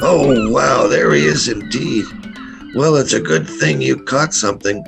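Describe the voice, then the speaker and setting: A man's voice: medium pitch, lively intonation, unhurried, gravelly, music in the background. A man playing a hearty, folksy tour boat captain in a radio play. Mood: jovial.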